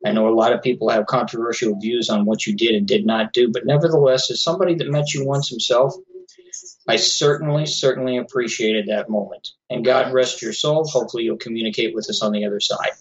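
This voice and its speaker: A man at a middle pitch hosts a talk radio show on his own, speaking straight to his listeners in an earnest, lecturing way. His voice is going a little hoarse. The audio is slightly dull and lo-fi. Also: fairly even intonation, fairly quick. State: losing his voice. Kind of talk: solo talk radio monologue.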